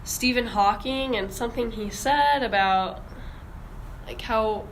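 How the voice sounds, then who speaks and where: A woman's voice: on the high side, slow pace, slight background noise, rough recording. A young woman hosting a casual podcast discussion in an informal, hesitant way. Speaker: podcast host.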